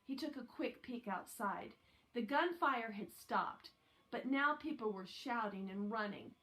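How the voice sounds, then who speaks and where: A woman's voice: on the high side, expressive, unhurried, a bit bright, low-quality recording. A woman narrating a children's novel aloud as a scripted reading. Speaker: audiobook reader.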